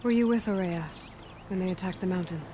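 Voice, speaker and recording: A woman's voice: on the low side, fast, slight background noise, lightly acted. A woman playing a determined, curious young hunter in a video game, asking direct, inquisitive questions. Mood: inquiring. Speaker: game protagonist. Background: loud game music.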